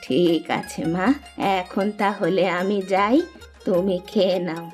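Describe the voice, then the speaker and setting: A woman voicing a kindly older village aunt in a cartoon, gentle, homely and sage in manner. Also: on the low side, fairly even intonation, slow pace, faint music behind. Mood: gentle, obliging. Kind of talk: cartoon dialogue acting.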